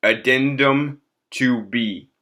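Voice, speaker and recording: A man narrating a scripted clinical report in a formal, measured way. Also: medium pitch, bright, forceful tone.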